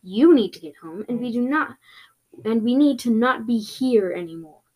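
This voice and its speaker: A woman's voice: fairly high pitch, animated, moderate pace, dark tone. A woman voicing a helpful, polite gorilla-horse creature in a fantasy story. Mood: explaining. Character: helpful gorilla-horse centaur creature, calm, apologetic rescuer.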